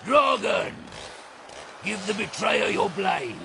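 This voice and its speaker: An actor playing a savage, scheming warlord in an audio drama, commanding and taunting in a strained gravelly rasp. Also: male voice, high-pitched, expressive, slow, sharp tone, slight background noise, heavily acted. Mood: savage glee.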